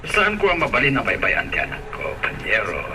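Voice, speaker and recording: Animated, lowish man in noisy scene. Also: fairly quick. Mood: companionable. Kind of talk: radio drama acting.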